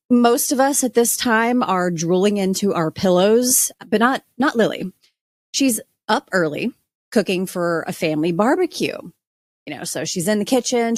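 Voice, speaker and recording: A woman's voice: mid-range voice, lively intonation, normal speed, no room sound. A woman podcast panelist telling a true crime story in a wry, colourful, informal style.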